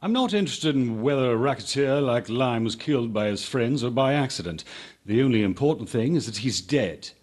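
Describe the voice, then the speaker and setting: Man acting a husky, curt major. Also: medium pitch, expressive, fairly quick, a little mellow, lightly acted. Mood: stern, dry. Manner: clipped, authoritative, dry. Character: cool, curt British military police major. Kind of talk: radio drama acting.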